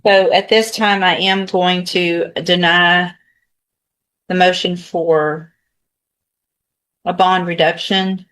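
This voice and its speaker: A woman judge speaking officially in court, pausing often as she explains her rulings. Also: fairly low pitch, fairly even intonation, fairly bright tone. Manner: official, with hesitant pauses. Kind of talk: courtroom ruling, spontaneous speech.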